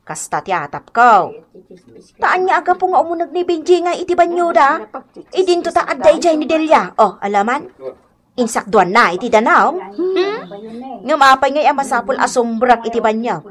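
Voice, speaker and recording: A woman's voice: high voice, sing-song, moderate pace, a bit bright. A woman playing a nosy, insistent, scornful gossiping neighbor in an Ilocano radio comedy drama, with an emphatic, suspicious way of talking. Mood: gossipy, insistent.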